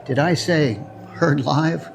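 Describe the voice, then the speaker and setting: A man's voice: on the high side, takes it slowly, gravelly, slight background noise. A man hosting an audio drama, reading a scripted introduction in a conversational way with playful asides. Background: music.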